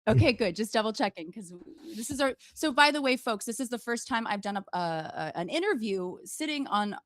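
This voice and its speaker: A woman's voice: a little high, animated, fairly quick, no echo. A woman in her forties hosting a casual livestream interview, with a playful, teasing manner. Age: 40s. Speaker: interview show host. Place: indoors on a couch.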